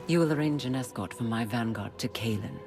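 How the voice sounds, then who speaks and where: Someone plays a cold, condescending inquisitor of the church in a video game, measured and quietly menacing. Clear music plays behind. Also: mid-range voice, close to an everyday voice.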